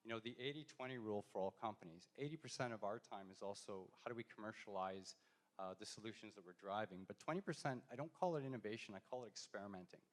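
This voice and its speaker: A man's voice: on the low side, fast. A man answering questions on a panel at a university event, hesitant, with frequent ums and restarts. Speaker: industry panelist. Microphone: handheld mic, passed around.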